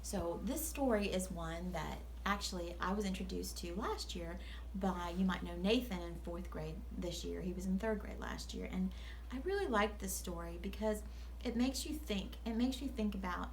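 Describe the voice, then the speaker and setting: A woman's voice: on the low side, normal speed, slight background noise. A woman teacher speaking to children at story time in an earnest, instructive way.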